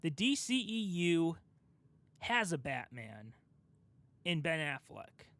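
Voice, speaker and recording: A man's voice: a little high, very animated, takes it slowly, a bit bright, dry recording. A young adult man hosting a casual pop culture podcast, talking through his argument step by step with emphasis. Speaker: podcast host.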